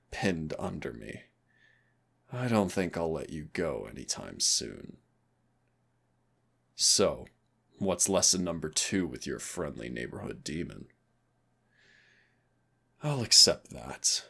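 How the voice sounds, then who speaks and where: Casting: male actor as smug demon trainer for audio roleplay, very low register, unhurried delivery, menacing but tender. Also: a bit bright, heavily acted. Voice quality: gravelly, low. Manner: slow, smug, teasing, addresses listener directly. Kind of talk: intimate audio roleplay drama.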